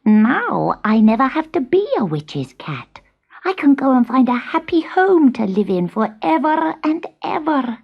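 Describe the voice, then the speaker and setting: A woman's voice: high voice, sing-song, measured pace, gravelly, breathy edge, highs cut off, voice pushed up, exaggerated voice. A woman voicing a small, timid, good-hearted young male kitten in a children's storybook, earnest and plaintive. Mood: hopeful.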